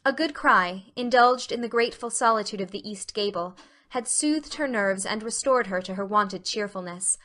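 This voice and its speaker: A woman narrating a storybook audiobook in an expressive, scripted style. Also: medium pitch, moderate pace.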